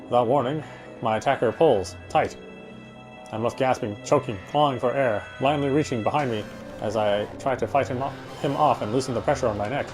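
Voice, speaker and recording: A man with a medium-pitched voice reads horror visual novel narration aloud. His delivery is dramatic and tense, told in the first person, with faint music behind him. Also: brisk. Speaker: narrator reading a game aloud.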